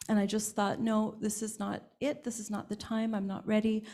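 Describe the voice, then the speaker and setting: A woman's voice: medium pitch, level tone, moderate pace, warm tone. A woman panelist answering a question in a candid, reflective way. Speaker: symposium panelist.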